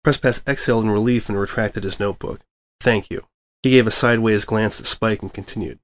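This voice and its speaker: A man narrating a fan-written story in a measured, dry reading voice. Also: fairly low pitch, fairly even intonation. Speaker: fanfic reader.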